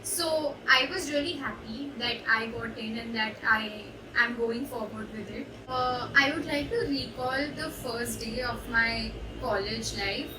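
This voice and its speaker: A woman's voice: on the high side, a little slow, few pauses. Young Indian woman, echoey distant recording. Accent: Indian English. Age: young adult. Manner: reflective, hesitant with many uh fillers. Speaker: student intern. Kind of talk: personal testimonial. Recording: echoey room, distant mic, background noise.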